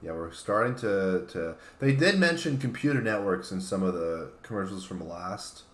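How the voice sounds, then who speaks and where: A man's voice: sits low, expressive, normal speed, echoey room, crowd noise. A man co-hosting a casual chat, giving opinions in loose, sweary everyday language. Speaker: co-host.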